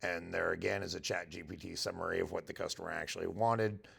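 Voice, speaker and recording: A man with a gravelly, husky voice, casually walking through a software demo and thinking aloud as he goes. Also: low voice, fairly even intonation, fast. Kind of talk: casual screen-share product walkthrough.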